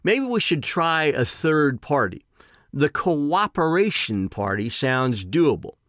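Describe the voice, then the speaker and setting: A man in his sixties reading his own personal essay in a deliberate, emphatic way with formal phrasing. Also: fairly high pitch, sing-song, a bit bright, dry recording, clean studio sound. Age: 60s.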